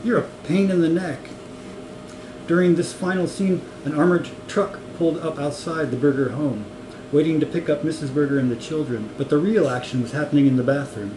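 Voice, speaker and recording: A man's voice: fairly high pitch, brisk. Man reading a story in an echoey, noisy room. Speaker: reader of a comic novel. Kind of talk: story reading with acted dialogue. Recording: echoey room with clear noise.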